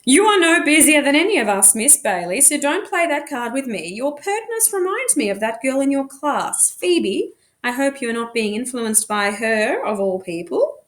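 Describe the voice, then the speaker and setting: Woman voicing a high-pitched, stubborn motherly housekeeper. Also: very animated, normal speed, fairly bright tone. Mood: chiding. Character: brisk, stubborn, motherly Victorian housekeeper, scolding but caring.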